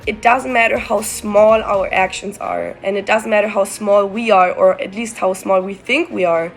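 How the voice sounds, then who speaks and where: A young woman from Costa Rica giving heartfelt, flowing answers as an interview guest. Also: medium pitch. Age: young adult. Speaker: guest climate activist.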